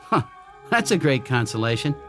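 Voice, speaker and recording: A man's voice: mid-range voice, big pitch swings, normal speed, fairly bright tone, soft background music. A man in a radio drama playing a troubled android who believed he was human, speaking in urgent, challenging, terse phrases. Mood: bitter.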